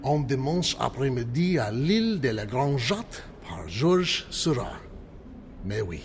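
A man playing a dashing, excitable inventor hero in a theatrical old-time radio serial. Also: medium pitch, measured pace, a little hiss or hum. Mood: assured. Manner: theatrical, exclamatory.

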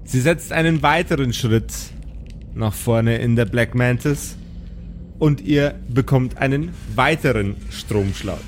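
A man's voice: medium pitch, takes it slowly, a little hiss or hum. A man narrating an improvised tabletop audio play as game master.

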